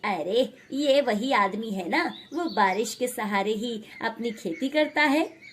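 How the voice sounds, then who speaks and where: A woman's voice: a little high, expressive, slow pace, slightly rough, heavily acted. Greedy scheming village rich man, done by a woman. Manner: calculating.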